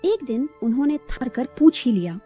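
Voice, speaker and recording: A woman's voice: sits high, very animated, normal speed, mellow, breathy edge, faint music behind. A woman narrating a scripted moral story as a storyteller.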